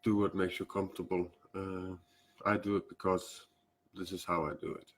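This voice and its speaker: A man's voice: low-pitched, little pitch movement, a little hiss or hum. A man with a Danish accent speaking English as a second language, hosting a casual spiritual live stream with many hesitant fillers. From Denmark. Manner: hesitant, fills pauses with "uh" and "um".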